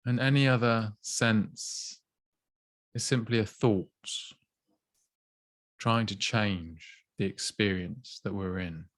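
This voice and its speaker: Soft-spoken man guiding a meditation. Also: lowish voice, steady tone, dry recording. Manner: slow, gentle, soothing guidance with long pauses. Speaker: mindfulness teacher. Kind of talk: guided body scan meditation.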